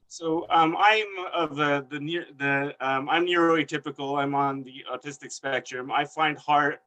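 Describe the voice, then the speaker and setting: A man with a bright, forceful, fairly high voice speaks on a video call. He is hesitant and literal-minded and keeps restarting his sentences. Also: rough recording. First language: Hebrew. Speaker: panelist, liturgy writer. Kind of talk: reflective webinar remarks.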